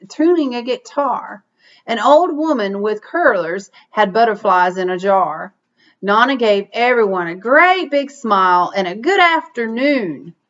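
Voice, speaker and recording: Woman, slow and deliberate picture-book reader, expressive delivery, muffled lo-fi sound. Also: medium pitch. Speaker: read-aloud reader. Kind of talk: expressive picture-book read-aloud with asides to children.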